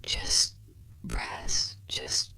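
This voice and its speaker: A breathy, gentle whisper, speaking soothing and reassuring words in the style of an ASMR guided relaxation session. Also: deep voice, drawn-out words, no echo. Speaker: ASMR therapist roleplayer.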